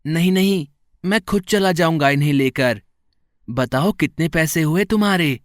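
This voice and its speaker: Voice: mid-range voice, normal speed, no room sound, clean studio sound, close to an everyday voice. An actor doing a sweet, confident chubby little boy. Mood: confident, kindly.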